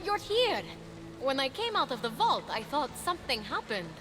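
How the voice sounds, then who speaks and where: A woman's voice: high-pitched, very animated, normal speed. A woman playing a brave, eager and caring young heroine in a video game cutscene. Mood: urgent, excited. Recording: loud game music underneath.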